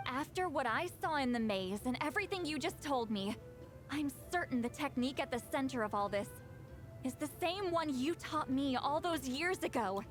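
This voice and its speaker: A woman's voice: high voice, lively intonation, moderate pace, soft background music. A woman playing a proud, lonely, determined centuries-old shaman in a video game.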